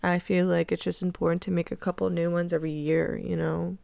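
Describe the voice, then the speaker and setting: A woman's voice: lowish voice, level tone, brisk, creaky, a little mellow, no room sound. A woman in her twenties hosting a podcast, chatting casually with lots of "like," her nose stuffed up. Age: 20s. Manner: rambling, peppered with "like". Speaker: podcast host. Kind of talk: casual chat.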